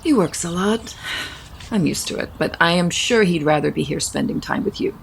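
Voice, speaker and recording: A woman's voice: on the low side, expressive, normal speed, creaky, slight background noise. An actress playing a genteel, protective middle-aged mother who holds grudges, in an audio soap opera. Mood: gracious.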